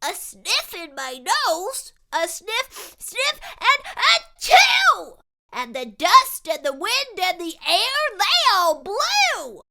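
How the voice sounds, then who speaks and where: Very high bright voice, excitable little boy acted by a woman, dramatic sing-song rhyme, storybook tale. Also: takes it slowly, exaggerated voice. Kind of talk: rhyming storybook read-aloud.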